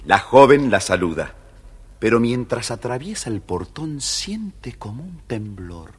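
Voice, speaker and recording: A man's voice: medium pitch, sing-song, measured pace, husky. A man narrating a scripted children's story as the storyteller. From Argentina.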